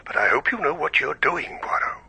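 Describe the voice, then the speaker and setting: A doubtful British gentleman in a radio play. His voice is very bright and tense, rough and breathy with some creak, as he protests with good manners. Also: on the high side, very animated, moderate pace, full character voice, poor audio. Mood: reluctant, protesting. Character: reluctant, doubtful gentleman helper of a detective. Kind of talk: radio drama acting.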